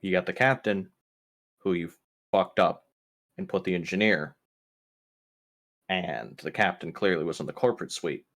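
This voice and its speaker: A man's voice: deep voice, moderate pace, long pauses, fairly bright tone. A man playing a stern ship's captain who fires clipped, demanding questions in a tabletop game. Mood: stern, accusing. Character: stern ship's captain interrogating his crew at gunpoint.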